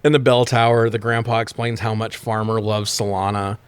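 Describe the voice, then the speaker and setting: A man's voice: lowish voice, fairly quick, clean tone, dry recording. Sweary man riffing through a movie recap. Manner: sweary, sarcastic, animated riffing. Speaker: podcast host recapping a film. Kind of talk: casual comedic movie recap.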